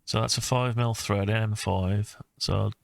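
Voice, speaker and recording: A man talking through his own design project informally, thinking aloud with hesitant, halting phrases. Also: fairly low pitch, steady tone, vocal fry, dry recording, studio quality. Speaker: hobbyist maker presenting own design project. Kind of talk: informal screen-recorded CAD design walkthrough.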